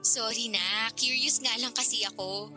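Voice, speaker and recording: Mausisa at mapanuksong babae, napakatinis ang linaw. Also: female voice, fairly high pitch, normal speed, bright, forceful tone, faint music behind. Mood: curious, teasing. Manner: playful, probing, casual. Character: curious, teasing, frank married woman flirting with younger man. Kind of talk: conversational radio drama acting.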